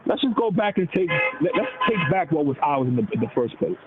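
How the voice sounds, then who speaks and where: A man's voice: on the high side, big pitch swings, brisk, loud music behind the voice. A man of Dominican background talking casually and animatedly about politics, in slang, often putting on voices for the people he quotes. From Dominican heritage. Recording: tinny phone-like line with noise.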